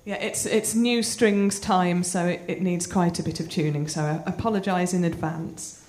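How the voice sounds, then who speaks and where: A woman's voice: lowish voice, steady tone, normal speed, a little mellow, a little hiss or hum. A woman singer-songwriter chatting casually and hesitantly between songs at a live show, with self-deprecating asides. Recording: live music performance with guitar. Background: guitar playing and tuning.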